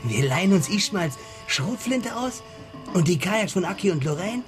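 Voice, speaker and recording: An eager boatman hatching plans in a radio crime drama. His voice is fairly high for a man, keen and colloquial, over prominent music. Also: very animated, normal speed. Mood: keen, scheming.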